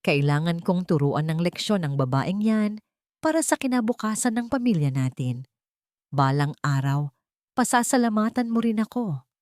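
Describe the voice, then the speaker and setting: A rich, controlling Filipino matriarch, acted out by a woman narrator in an audio love drama. She is cold and haughty, threatening with deliberate contempt. Her lowish voice moves expressively and sounds close and dry. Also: expressive, darkish tone, dry recording, lightly acted. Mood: soft, possessive.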